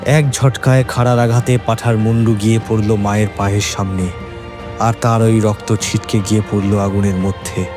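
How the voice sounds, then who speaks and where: A man with a Bengali accent narrates a horror tale in a fairly deep voice. His delivery is scripted, dramatic and suspenseful, with faint music underneath. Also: flat delivery, moderate pace.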